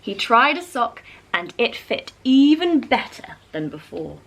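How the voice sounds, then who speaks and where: Woman storyteller for children's read-aloud, unhurried, highly varied intonation. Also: slight background noise, rough recording. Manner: animated, addresses young listeners. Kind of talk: expressive read-aloud of a rhyming picture book.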